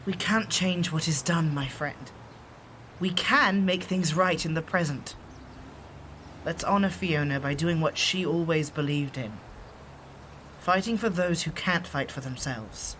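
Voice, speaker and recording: A man's voice: fairly high pitch, a bit bright. Man acting a solemn exiled shapeshifter, noisy backdrop. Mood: solemn resolve. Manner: formal, measured, earnest speech. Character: exiled shapeshifter, solemn, loyal, earnest friend. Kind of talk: audio drama acting. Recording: clear background noise and ambience.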